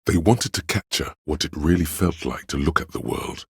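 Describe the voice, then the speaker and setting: A man voicing an erudite, pedantic encyclopedia inside a detective's mind in a video game, lecturing in a measured, scholarly way with ominous gravity. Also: a bass voice, creaky, breathy edge, no room sound, clean studio sound, exaggerated voice. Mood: scholarly, musing. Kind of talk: scripted game narration, lecture-like.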